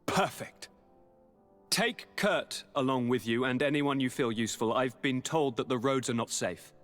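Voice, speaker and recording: An actor playing an affable, courtly young aristocrat governor in a video game, with an airy, theatrical manner. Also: male voice, mid-range voice, measured pace, a bit bright, soft background music, close to an everyday voice. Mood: affable, fond. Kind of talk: video game cutscene dialogue.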